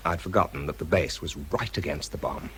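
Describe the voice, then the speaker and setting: An actor with a British accent playing a tense, self-doubting wartime bomb disposal man in a radio drama, shifting between clipped reports and quiet confession. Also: extremely low pitch, a little fast, slight background noise. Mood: shaken, subdued. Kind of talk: radio drama acting, first-person narration and spoken report.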